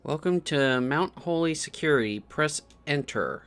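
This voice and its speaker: A man's voice: fairly high pitch, expressive, a little slow, fairly bright tone. A man giving casual playthrough commentary as a gaming streamer, sometimes reading on-screen text aloud. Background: game music.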